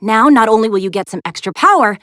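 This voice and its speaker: An actress voicing a plucky, sassy young woman adventurer in a video game, expressive and wry as she briefs heroes. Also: fairly high pitch, quick pace, no room sound, studio quality. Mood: impressed, cheering. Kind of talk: animated video game character lines, giving mission briefings.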